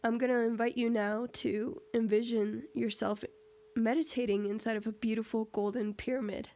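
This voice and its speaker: A woman leading a guided meditation in a gentle, measured, instructional way with pauses. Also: on the high side. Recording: steady humming tuning-fork tone behind voice. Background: crystal tuning fork tone, hum.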